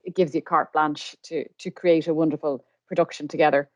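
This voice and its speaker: Irish female podcast host, deep register, informative and conversational, very dry sound. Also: steady tone, brisk, dry recording. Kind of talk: conversational interviewing with informational announcements.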